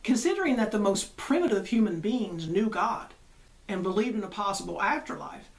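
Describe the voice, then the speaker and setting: A woman's voice: medium pitch, animated, moderate pace. A woman hosting a religious show, delivering a conversational monologue that leans on rhetorical questions and emphatic repetition.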